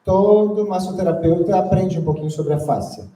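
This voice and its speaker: A woman's voice: lowish voice, moderate pace, dark tone, roomy sound, distant mic, low-quality recording. A woman interpreting a lecture phrase by phrase, hesitating often with fillers. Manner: hesitant, fills with "ah" and "é". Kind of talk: consecutive interpreting.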